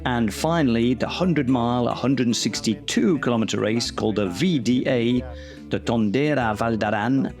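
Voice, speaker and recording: A man narrates a race documentary in a measured, informative way. His voice sits at an ordinary middle pitch. Soft music plays underneath him. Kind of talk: scripted narration.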